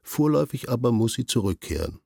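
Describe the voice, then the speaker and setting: An actor playing a weary, self-important official in a radio play, giving orders in a pedantic, circling, officious way. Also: male voice, mid-range voice, animated, quick pace, vocal fry, dark tone, slightly rough, no room sound, clean studio sound. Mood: officious, detached. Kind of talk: literary radio play acting.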